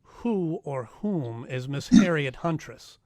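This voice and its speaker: An actor playing a wry, hardboiled private detective in a noir radio drama, casual, clipped and wisecracking. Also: male voice, a little high, expressive, takes it slowly, a little mellow, dry recording, clean studio sound. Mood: dry, businesslike.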